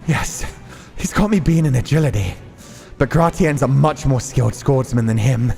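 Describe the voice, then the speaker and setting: A man's voice: medium pitch, normal speed, vocal fry, darkish tone, pitched above the performer's own voice, natural voice. A man voicing a hot-headed, foul-mouthed young rebel swordsman in a visual novel, defiant and intense. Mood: tense.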